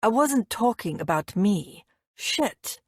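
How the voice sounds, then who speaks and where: A woman's voice: medium pitch, expressive, slow pace, husky, no room sound. A woman voicing a brooding, edgy man in an audiobook, speaking in a dry, curt, murmuring manner. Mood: murmured, curt. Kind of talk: audiobook character dialogue.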